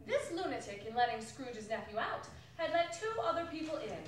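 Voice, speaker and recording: A woman narrates a story as a live dramatic reading. Her voice is a little high and theatrical. It comes from far off in a strongly reverberant hall with faint noise. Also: animated, normal speed. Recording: distant, very echoey, noisy hall recording.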